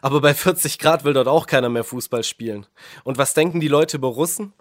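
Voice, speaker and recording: A male reporter reading scripted radio feature narration with a smooth, polished delivery. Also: mid-range voice, a little fast, no echo.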